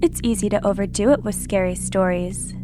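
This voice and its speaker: A woman's voice: medium pitch, a little fast, music in the background, lightly acted. A woman playing a creepy young female monster who hosts scripted horror stories in a theatrical, menacing manner. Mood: eerie, gleeful. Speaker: podcast host.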